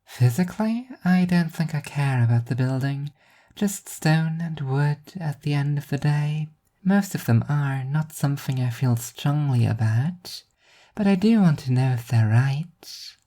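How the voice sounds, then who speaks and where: A woman voicing an eerie, doll-like teenage girl prophet who speaks in a flat, measured, pedantic way. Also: low voice, voice pushed up. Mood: detached, probing.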